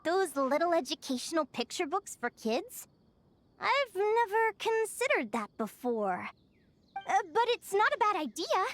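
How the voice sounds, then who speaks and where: Cheerful young naturalist for a game: high-pitched, very lively intonation, earnest and chatty. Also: female voice, unhurried, exaggerated voice. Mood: excited, proud. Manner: expressive, earnest, chatty, with small hesitations. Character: cheerful young female naturalist adventurer, eager storyteller. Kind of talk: animated video game character dialogue. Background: background music.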